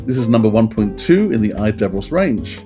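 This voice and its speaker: Mellow-voiced man presenting a podcast. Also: on the low side, very animated, fairly quick, soft background music. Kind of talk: informative podcast commentary.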